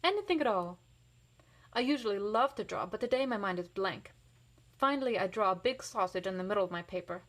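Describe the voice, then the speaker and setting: A woman's voice: medium pitch, quick pace. A woman reading a picture book aloud expressively to children, with casual explanatory asides. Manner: animated, varies voice for characters.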